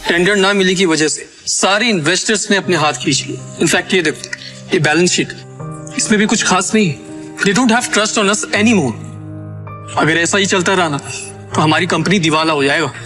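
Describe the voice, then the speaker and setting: An actor playing a worried company executive in a Hindi audio drama, urgent and grim, slipping into English. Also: male voice, sits high, moderate pace, pushed and bright, soft background music.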